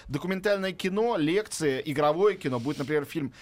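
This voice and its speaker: A man's voice: on the high side, animated, brisk, no echo. A middle-aged man talking as a film critic in a casual studio chat, enthusiastic and opinionated, with many fillers. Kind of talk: radio film review chat.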